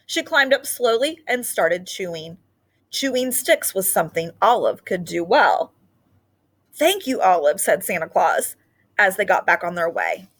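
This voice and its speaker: A woman storyteller reads a picture book to children. Her delivery is animated and expressive, lingering on important words, at a middling pitch. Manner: animated, drawn-out emphasis on key words. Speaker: read-aloud storyteller.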